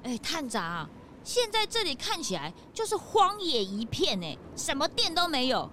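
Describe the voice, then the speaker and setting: High squeaky kid sidekick, whiny n dramatic, voice jumping all over, kids audio drama. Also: female voice, sing-song, moderate pace, sharp tone, a little hiss or hum, exaggerated voice. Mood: dismayed, whiny. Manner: childlike, chatty, dramatic, easily panicked. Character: excitable, whiny young sidekick of a detective. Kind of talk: children's audio drama acting. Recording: music behind the voice.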